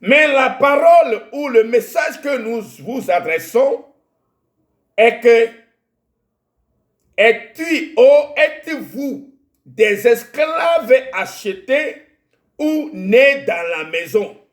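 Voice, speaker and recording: A man from Togo, whose first language is Ewe, preaching a sermon in French with a Togolese accent, slow and halting, repeating words as he searches for them. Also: very high-pitched, lively intonation, roomy sound. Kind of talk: sermon with Bible reading.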